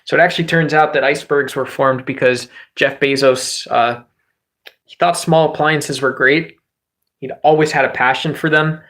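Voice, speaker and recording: A man hosting a livestream, chatting with viewers in a rambling, joking way and swearing freely; he says his voice can turn high and squeaky. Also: steady tone, normal speed, a bit bright. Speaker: livestream host. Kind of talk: casual livestream chat with viewers.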